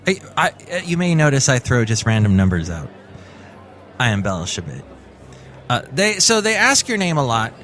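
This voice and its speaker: A man hosting a podcast with a rambling, self-mocking comic monologue full of asides, with loud music behind. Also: medium pitch, huge pitch swings, moderate pace. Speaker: podcast host. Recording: loud music bed under voice.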